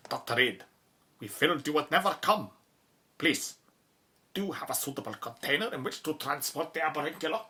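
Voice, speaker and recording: Actor doing a formal doom-warning official in a radio play, urgent and precise, forceful edge to the voice. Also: medium pitch, animated, normal speed, pauses often. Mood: anxious, solemn. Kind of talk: audio drama acting.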